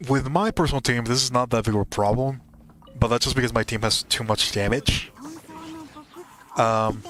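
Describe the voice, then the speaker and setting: Man, medium pitch, gaming host, casual play-by-play, hesitant thinking aloud, self-correcting. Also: normal speed, a bit bright. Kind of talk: casual gameplay commentary.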